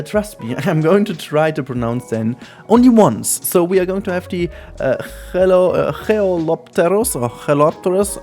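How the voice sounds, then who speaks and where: A man's voice: on the high side, darkish tone. German-accented man rambling over gameplay. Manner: chatty, rambling, lots of ums and uhs. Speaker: gaming channel host. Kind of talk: casual gameplay commentary. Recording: faint music behind.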